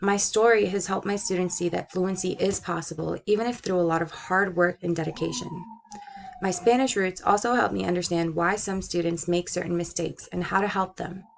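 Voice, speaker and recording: A woman's voice: lowish voice, fairly even intonation, a little fast, creaky, a little hiss or hum. A young woman in her twenties, from Puerto Rico, with a slight Brooklyn-tinged American accent, earnestly narrating her own story as a teacher. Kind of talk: personal narration read from a script.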